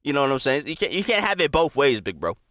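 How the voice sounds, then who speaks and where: A man's voice: a little high, very animated, fast, fairly bright tone, slight background noise. A young American man giving casual, slangy, profane reaction commentary, swinging from mutters to exclamations. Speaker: reaction video host.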